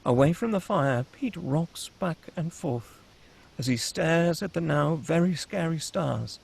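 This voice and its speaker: A man's voice: a little high, lively intonation, a little slow, creaky, a little mellow, husky, low-quality recording. A man reading stage directions as the narrator of a screenplay, in a dramatic scripted style.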